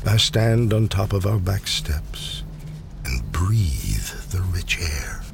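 Deep, slow man reciting poetry. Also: very animated, creaky, music in the background. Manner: measured, deliberate phrasing with pauses. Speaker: poetry reader. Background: faint ambient sound design.

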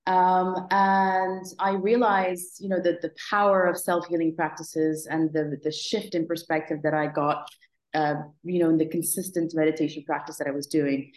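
A woman in her thirties, originally from Morocco, speaks as an interview guest with a low, even-paced voice. She talks in a reflective way, stopping for fillers and restarts. Her voice carries a noticeable room echo. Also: moderate pace. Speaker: guest, app founder. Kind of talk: conversational interview.

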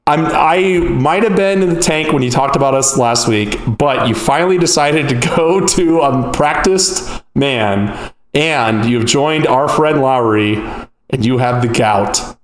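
A man co-hosting a podcast, telling stories loudly and animatedly, laughing often. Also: mid-range voice, measured pace, a little hiss or hum. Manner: loud, animated storytelling with laughs. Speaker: podcast co-host. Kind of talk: casual podcast chat. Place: home room. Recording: echoey room.

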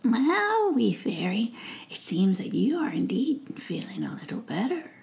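Woman doing a kindly ancient witch, squeaky and gravelly, storybook tone. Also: wildly swooping pitch, a little slow, darkish tone, exaggerated voice. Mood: tender.

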